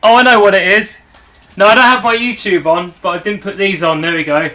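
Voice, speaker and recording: Male guest on a video call, high and bright-toned, giggly, a bit scattered. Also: fairly even intonation, brisk. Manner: bright, giggly and fumbling with his setup.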